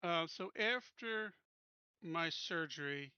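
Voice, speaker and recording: A man's voice: a little high, animated, slow pace, bright, forceful tone, clean tone, dry recording, dull audio. A man chatting casually as a player in a tabletop game.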